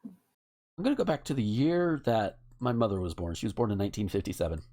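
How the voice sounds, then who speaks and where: A man's voice: on the low side, big pitch swings, a little fast, dark tone. A man hosting a casual podcast, reading out lists and adding informal, rambling asides. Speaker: podcast host.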